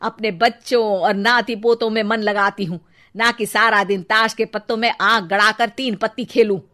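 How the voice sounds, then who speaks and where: A woman voicing a cranky, sharp-tongued older Indian mother-in-law in a Hindi cartoon story, with a scolding, sarcastic manner. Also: mid-range voice, fairly even intonation, normal speed, natural voice. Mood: indignant.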